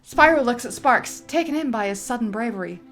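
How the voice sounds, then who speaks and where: A woman reading a script's stage directions aloud with lively, dramatic narration. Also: a little high, a little fast, soft background music.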